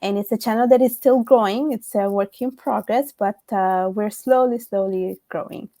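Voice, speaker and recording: Young woman guest raised in italy, lots of uhs and ums, even middle pitch, on a call. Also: no room sound, studio quality. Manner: measured, with frequent fillers and small restarts. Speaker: guest, author. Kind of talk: remote interview answers. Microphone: remote call.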